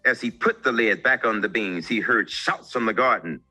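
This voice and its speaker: A man narrating a children's story as an expressive, theatrical storyteller. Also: fairly low pitch, moderate pace, breathy edge, soft background music.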